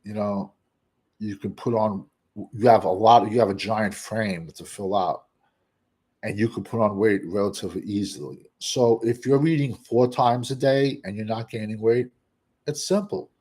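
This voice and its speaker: A man hosting casual football draft talk, blunt and rambling, with what he calls a monotone voice. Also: on the low side, normal speed, slightly rough. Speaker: draft analyst host. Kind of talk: casual draft commentary to camera.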